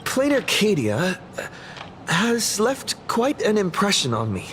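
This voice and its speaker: A man's voice: high-pitched, wildly swooping pitch, slow pace, a bit bright, a little hiss or hum, full character voice. A man playing a modest, easygoing young adventurer in an anime-style game. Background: game music.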